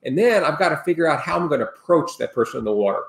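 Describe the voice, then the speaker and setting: A man's voice: a little high, lively intonation, racing, creaky. A man in his sixties, a sailing expert guest on a video call from home, explaining safety steps in a rambling, earnest way with many restarts. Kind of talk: casual explanatory interview talk. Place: home room, indoors. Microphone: video call webcam. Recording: roomy video call audio.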